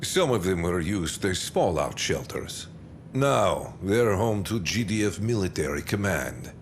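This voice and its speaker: An actor playing a smooth, calculating military commander in a video game, menacing and self-assured, speaking deliberately with weighty pauses. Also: male voice, low-pitched, big pitch swings, slight background noise. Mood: cool, conspiratorial. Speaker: military commander briefing a general. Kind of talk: scripted video game cutscene briefing.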